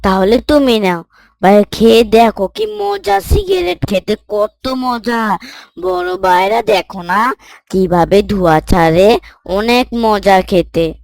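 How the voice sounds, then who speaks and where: A woman's voice: fairly high pitch, sing-song, slow pace, gravelly, darkish tone, no echo, exaggerated voice. A woman voicing a sly, cocky young boy in a children's moral cartoon, coaxing and brash in manner.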